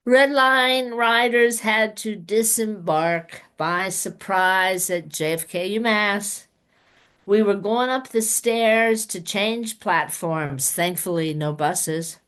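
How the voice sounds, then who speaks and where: A woman poet reading a personal piece at an online open mic in a plain, measured way. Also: mid-range voice, a bit bright, smooth. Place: online video call.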